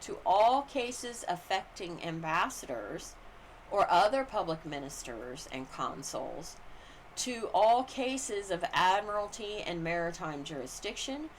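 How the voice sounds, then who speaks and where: A woman reads legal commentary aloud in a deliberate, formal manner. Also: medium pitch, a little hiss or hum, low-quality recording. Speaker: audiobook-style reader.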